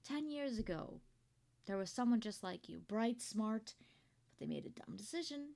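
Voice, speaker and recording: A woman playing a kindly, proud older company founder in an ASMR roleplay, chatty and doting, with a creaky voice. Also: on the high side, expressive, normal speed. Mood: warm, praising. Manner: gentle, chatty, doting, with throat-clearing and rambling asides. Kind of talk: ASMR roleplay monologue to the listener.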